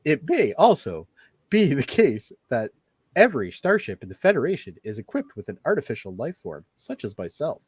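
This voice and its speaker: A man acting an earnest, precise android officer in a fan radio play, with formal, measured wording. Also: mid-range voice, big pitch swings, a little mellow, breathy edge, no echo. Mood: earnest, pondering. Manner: formal, measured, wordy phrasing.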